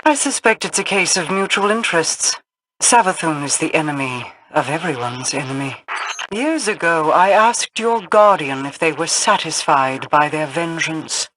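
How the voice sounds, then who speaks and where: A woman playing an aloof, cryptic queen in a video game, speaking in a formal, measured, imperious way. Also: sits low, lively intonation, background noise. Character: regal, aloof, cryptic queen of an otherworldly people.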